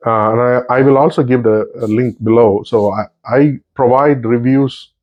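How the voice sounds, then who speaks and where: Middle-aged man, native Tamil speaker, book vlogger; hesitant, self-correcting delivery, medium pitch, muffled home recording. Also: steady tone. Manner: halting, many restarts and fillers. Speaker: book reviewer. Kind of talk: casual unscripted chat. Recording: slightly dull lo-fi sound.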